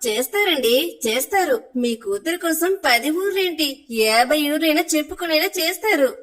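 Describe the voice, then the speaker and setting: A woman voicing a mother and wife who can be caring or sharp-tongued, in a cartoon story. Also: high-pitched, expressive, normal speed. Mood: sarcastic. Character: sarcastic, scolding village mother and wife. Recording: music behind the voice. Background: music.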